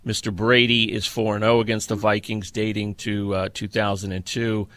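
Bright, forceful middle-aged male sports podcaster. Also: lowish voice, little pitch movement, moderate pace, no room sound. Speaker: football podcast host. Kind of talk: conversational sports analysis interview.

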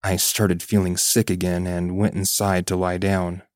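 Man, very low pitch, scripted spooky story narration, podcast narrator, dry close sound. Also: level tone, measured pace, no room sound.

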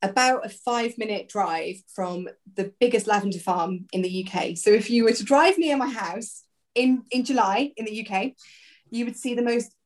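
A woman's voice: on the high side, normal speed. A woman from South Wales, a guest on a podcast, chatting cheerfully over a video call with plenty of ums. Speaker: guest, job-sharing coach. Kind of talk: casual interview chat.

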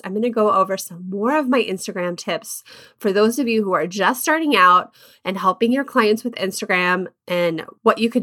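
A woman's voice: mid-range voice, pushed and bright. Woman hosting a podcast interview. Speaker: podcast host. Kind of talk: friendly interview intro and questions.

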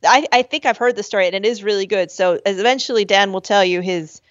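A woman with a high voice chats and laughs as a podcast co-host, slipping into squeaky voices when she quotes herself. The recording is dull and slightly lo-fi. It sounds dry, with no room echo. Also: quick pace. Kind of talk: casual chat. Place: at home with a dog.

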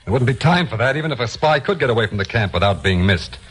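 An actor playing a steady, reassuring American border patrol officer in a 1950s radio drama. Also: male voice, mid-range voice, fast talker, slightly rough. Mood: matter-of-fact. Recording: old 1950s radio recording.

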